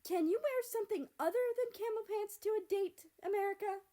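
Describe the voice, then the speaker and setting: A woman voicing an exasperated mother who scolds her son in a shrill, fussy way, in a story reading. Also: brisk, mellow.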